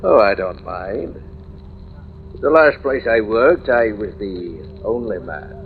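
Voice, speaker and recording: A man's voice: on the low side, expressive, takes it slowly. An actor playing an over-helpful, unsettling building workman in an old-time radio thriller, folksy and insistent with odd hesitations. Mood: eerily casual. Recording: noisy, tinny old radio recording.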